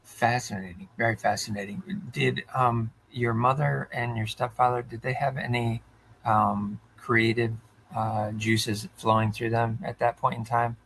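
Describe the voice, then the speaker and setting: A man hosting a podcast, introducing his guest and asking questions in a measured, steady way. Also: medium pitch, gravelly, slight background noise, rough recording. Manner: measured, steady, with short affirmations. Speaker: podcast host.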